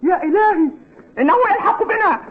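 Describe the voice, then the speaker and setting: An actor voicing an excitable young troublemaker in a cartoon dub, with a squeaky voice. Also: male voice, brisk. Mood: panicked, pleading. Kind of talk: Arabic cartoon dub acting. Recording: tinny, faintly noisy TV dub.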